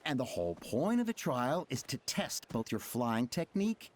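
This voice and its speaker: A woman's voice: a bass voice, big pitch swings, moderate pace, a little mellow, exaggerated voice. A woman voicing an earnest, doubtful young trainee in an anime game, with a hesitant manner. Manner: hesitant, polite objecting.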